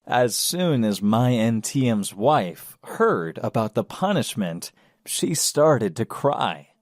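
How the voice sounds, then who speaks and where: A man narrating a children's story in a measured, expressive storytelling manner. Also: medium pitch, no room sound.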